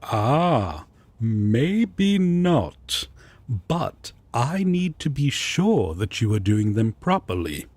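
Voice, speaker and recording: A man playing a prim, indignant yet devoted angel roommate in a romantic audio roleplay, with a breathy, husky voice and fussy, formal diction. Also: medium pitch, a little mellow, no room sound, exaggerated voice.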